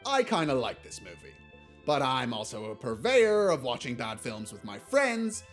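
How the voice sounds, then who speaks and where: A man's voice: mid-range voice, wildly swooping pitch, moderate pace. A man delivering scripted video essay commentary in a blunt, casually sardonic way. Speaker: video essayist reviewing films. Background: music.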